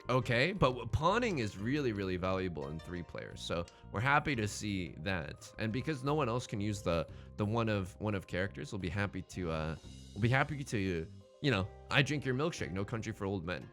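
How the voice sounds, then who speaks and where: Male let's play host, slangy and chatty, very varied pitch, thinking aloud over light background music. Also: very animated, fairly quick, a bit bright. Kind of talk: casual gameplay commentary.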